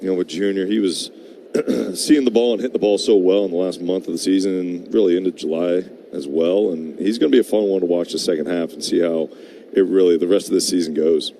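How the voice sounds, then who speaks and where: A man's voice: sits low, a little fast, dark tone. A man giving conversational live baseball analysis as a color commentator, chatty and informal in his phrasing. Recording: live broadcast with clear background noise.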